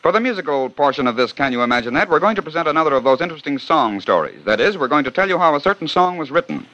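A male announcer narrates a scripted 1940 radio program with brisk, wry storytelling. He speaks quickly in a bright, forceful tone. The old recording sounds dull and muffled. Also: a little high, big pitch swings.

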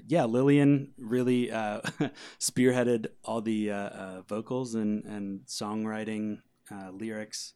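A man, a band musician, chatting casually in a hesitant, halting way as he is interviewed. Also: fairly low pitch, mellow, clean tone. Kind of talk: casual chat.

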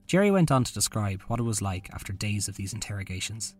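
A man's voice: on the low side, big pitch swings, quick pace, a little mellow, husky, faint music behind. A man hosting a podcast, reading a scripted true-crime narration. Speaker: podcast host.

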